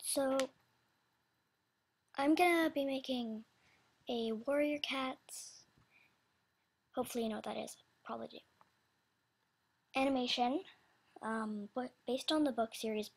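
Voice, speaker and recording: Female creator, higher-pitched but breathy, casual and scatterbrained, halting delivery for an off-the-cuff audition announcement. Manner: halting, scatterbrained, self-interrupting. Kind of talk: casual unscripted rambling announcement.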